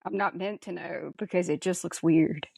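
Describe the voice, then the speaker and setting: A woman's voice: on the low side, steady tone, normal speed, gravelly, mellow, husky, no room sound. Casual woman podcaster, close dry sound. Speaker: podcast co-host. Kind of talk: casual chat about a sci-fi film.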